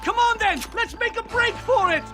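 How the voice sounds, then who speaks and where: An actor playing a nervous, bookish American professor in a video game, fussy, stammering and easily indignant. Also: male voice, very high voice, moderate pace, over music. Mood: exasperated. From Connecticut, USA. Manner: fussy, stammering, flustered, florid wording. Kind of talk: dramatic video game voice acting.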